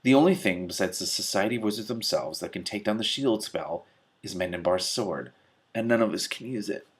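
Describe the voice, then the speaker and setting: A man's voice: fairly low pitch, fairly quick. A man voicing a male magician in a fantasy story, pedantic, testy and technically precise. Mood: precise, doubtful. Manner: technical, precise, crisply argumentative.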